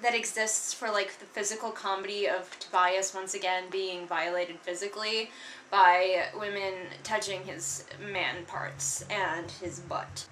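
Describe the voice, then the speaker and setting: A woman's voice: medium pitch, steady tone, unhurried, a bit bright, reverberant, a little hiss or hum, low-quality recording. A woman giving casual comedic book commentary in a sarcastic, exasperated, conversational way. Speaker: host of a book read-along.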